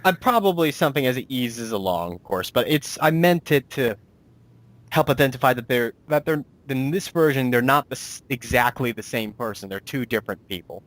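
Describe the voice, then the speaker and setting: A man's voice: medium pitch, animated, fairly quick, a bit bright. A man hosting a casual interview as a writer and producer, talking in a rambling, self-deprecating way.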